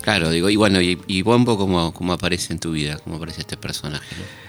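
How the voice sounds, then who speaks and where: A man's voice: low voice, steady tone, fast, faint music behind. A man, interviewing conversationally and attentively, with brief interjected remarks.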